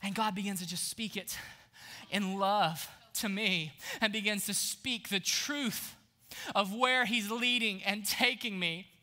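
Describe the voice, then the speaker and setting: Man preacher, thirties, high bright voice, animated, hushed to shouting, church stage. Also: measured pace. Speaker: pastor. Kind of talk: energetic sermon.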